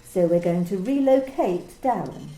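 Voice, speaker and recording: A woman's voice: lowish voice, animated, unhurried, dark tone, reverberant, soft background music. A woman playing a cool, condescending company manager in an amateur radio play reading. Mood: cold. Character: cold corporate woman manager, clipped and controlling.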